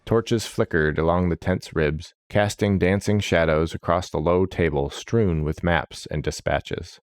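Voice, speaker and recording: Male fantasy narrator: deep register, dramatic storytelling, dry close-mic sound. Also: a little slow, no echo.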